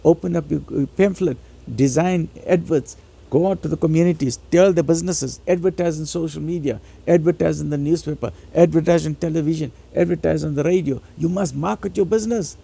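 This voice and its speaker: A man with a high, very creaky voice delivers a motivational Islamic lecture. His tone is husky, and he exhorts listeners with emphatic repetition. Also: fairly quick, warm tone. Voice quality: raspy, gravelly. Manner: emphatic, exhortatory, repeats phrases for stress. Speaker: religious lecturer.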